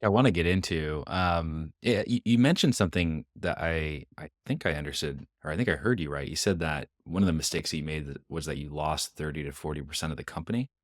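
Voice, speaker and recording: A man's voice: extremely low pitch, brisk, few pauses, no echo, studio quality. A man hosting an interview, asking questions with hesitant restarts and frequent affirming yeahs. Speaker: podcast host.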